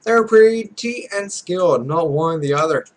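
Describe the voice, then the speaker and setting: Man voicing a scornful young female pro gamer, raised pitch. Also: expressive, moderate pace, close to an everyday voice. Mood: indignant. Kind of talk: amateur read-aloud of visual novel dialogue.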